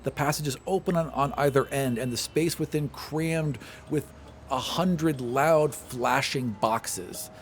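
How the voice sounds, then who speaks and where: A man's voice: medium pitch, a little slow, slight background noise. A man acting as game master, describing scenes and explaining things in a casual, conversational way. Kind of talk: narrating a tabletop game, conversational.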